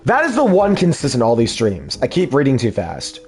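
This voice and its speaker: Male let's-play dubber with a deep voice, animated delivery, and a loose, filler-heavy way of chatting between game lines. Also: a little fast, music in the background. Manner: voices several characters, breaks off to chat about his voices; rambling, filler-heavy when talking plainly. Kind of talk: reading game dialogue aloud, voicing all characters.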